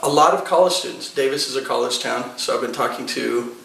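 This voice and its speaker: A man's voice: mid-range voice, a little fast, vocal fry, fairly bright tone, recorded from a distance. An earnest pastor, a man, answers interview questions at length and rambles, with plenty of fillers. He sits a little away from the mic in an echoey living room. A faint hum of noise runs underneath. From United States. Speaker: pastor and political candidate. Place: living room of his house.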